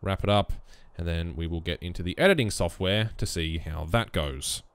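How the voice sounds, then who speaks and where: A young man with a bassy voice explaining his recording setup in a relaxed, rambling way, close on a USB condenser mic on a boom arm. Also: normal speed, background noise. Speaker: YouTube gaming creator. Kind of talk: casual screen-recorded tutorial.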